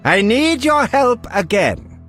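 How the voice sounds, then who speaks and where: A man's voice: very high-pitched, big pitch swings, slow pace, fairly bright tone, full character voice. A man playing an eccentric, pompous, absent-minded alchemist in a video game, with theatrical delivery. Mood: self-important. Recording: music behind.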